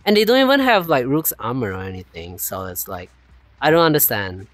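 A male streamer with a light non-native accent rambles in casual chat. His voice leaps high and drops low. The sound is dry, without room echo. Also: fairly quick. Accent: non-native, unnamed. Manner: animated, rambling, laughs often. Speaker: streamer host.

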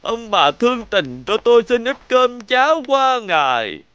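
Pitiful cartoon beggar, high swooping voice, plaintive and humble. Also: male voice, big pitch swings, unhurried, pushed and bright, clean tone, exaggerated voice. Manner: plaintive, chanting appeal. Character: pitiful hungry male beggar, humbly pleading. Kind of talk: animated folktale dubbing.

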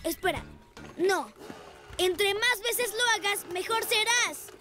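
An actor voicing an eager, brave child rescue motorcycle in a children's cartoon, speaking earnestly and encouragingly over music. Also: very high-pitched. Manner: earnest, encouraging, drawn-out delivery. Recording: music under the dialogue.

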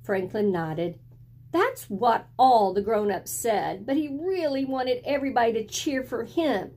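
A middle-aged woman reads a children's story aloud at a slow pace. Her voice sits fairly high, with a slightly husky edge. She tells it expressively and deliberately, as if to young listeners. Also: darkish tone. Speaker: storyteller reading a picture book aloud. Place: small indoor room at home with bookshelves.

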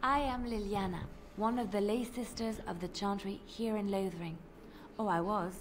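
A woman's voice: medium pitch, fairly even intonation, moderate pace, a little hiss or hum, lightly acted. Earnest young holy sister, played by a woman. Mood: polite, composed. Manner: gentle, fervent, sincere. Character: earnest, devout young lay sister who fights.

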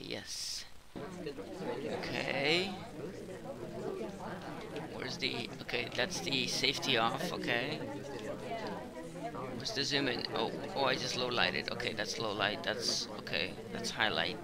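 A man with a hoarse, gravelly voice, worn by a cold, talks through a game session in loose, rambling commentary full of fillers. Game party chatter murmurs clearly behind him on a home recording. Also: medium pitch, lively intonation, takes it slowly, long pauses. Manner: rambling, filler-heavy, explains as he plays. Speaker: gameplay commentator. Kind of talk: casual gameplay commentary.

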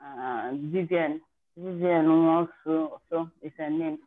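A woman's voice: deep voice, slow pace. A woman speaking over a video call, her voice thin and phone-like. She tells her story in a candid, chatty way, circling back to fix her wording as she goes. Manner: chatty and candid, with self-corrections. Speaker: participant sharing her exam success story.

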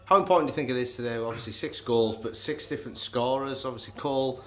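A man, a club media interviewer, putting brisk, prepared post-match questions to players and staff. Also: medium pitch, fairly bright tone.

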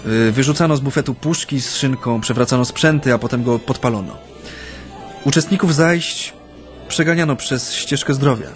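A man's voice: mid-range voice, soft background music, close to an everyday voice. An actor as a hesitant, reflective Japanese man. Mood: earnest recounting. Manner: hesitant, reflective, with frequent hums and pauses. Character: earnest Japanese man recalling his Solidarity-era activism. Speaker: actor in a radio play. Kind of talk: radio drama, conversational recollection.

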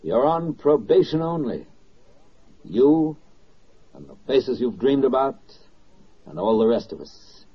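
Husky, oratorical American radio narrator. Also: male voice, mid-range voice, big pitch swings, takes it slowly, long pauses, exaggerated voice. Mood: grave, tender. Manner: oratorical, declamatory, emphatic. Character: solemn, stirring male narrator addressing soldiers and sailors. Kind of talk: 1940s radio drama narration. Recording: dull old 1940s radio recording.

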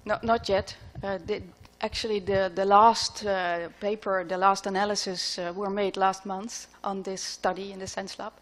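A woman's voice: mid-range voice, flat delivery. Middle-aged woman answering questions. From Netherlands. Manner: thoughtful, halting with frequent fillers. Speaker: plenary speaker answering questions. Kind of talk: conference Q&A. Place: large lecture hall. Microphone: podium microphone.

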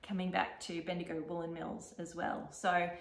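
A middle-aged Australian woman with a fairly low voice chats casually in an echoey living room. She goes along haltingly, thinking aloud as a podcast co-host. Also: little pitch movement. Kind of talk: casual chat showing knitting projects. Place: living room, seated on a couch.

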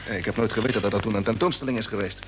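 An actor playing a determined, earnest spaceship commander in an old Dutch radio drama, speaking emphatically. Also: male voice, lowish voice, rapid-fire, lightly acted. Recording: noisy vintage radio play recording.

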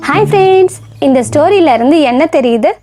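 High-pitched woman storyteller. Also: lively intonation, fairly quick, over music. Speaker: narrator. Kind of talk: scripted children's story narration.

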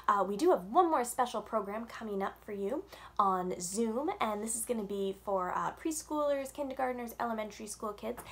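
A young woman, a children's librarian, reading a picture book aloud at story time with animated, expressive delivery, recorded on a webcam at home. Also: medium pitch. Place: home room with bookshelves. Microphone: webcam on a video call.

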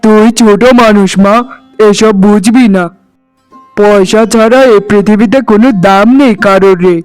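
A man voicing a tired, gentle middle-aged farmer father in a cartoon, weary and fatherly. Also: high voice, fairly even intonation, moderate pace, smooth, faint music behind, lightly acted, low-quality recording. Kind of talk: cartoon voice acting.

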